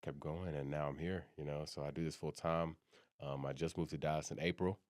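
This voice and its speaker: Very deep-voiced young man, casual slang. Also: a little fast, no room sound, studio quality. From South Sudan. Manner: relaxed slang, says "bro" and "you know what I mean" a lot. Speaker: podcast guest, model. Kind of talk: casual podcast chat.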